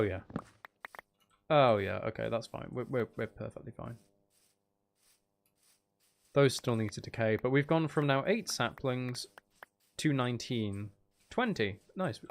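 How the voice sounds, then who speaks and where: Creaky-voiced man thinking aloud while gaming. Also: medium pitch, lively intonation, measured pace, pauses often. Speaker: gaming streamer. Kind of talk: casual live gameplay commentary. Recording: faint background noise and clicking.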